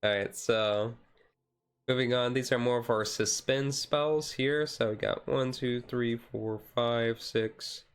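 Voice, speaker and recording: Easygoing guy streamer doing chill asmr card showcase, bit rough voice, taking it gentle, halting as he reads. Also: medium pitch, fairly even intonation. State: voice slightly rough, being taken easy.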